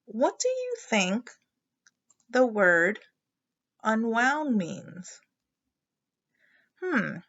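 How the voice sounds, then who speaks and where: A woman teacher guides young listeners through a picture book, thinking aloud and asking questions. She takes her time, with lively rises and falls in pitch and some creak in her voice. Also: drawn-out words, long pauses, lo-fi sound. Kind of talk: children's read-aloud lesson with questions.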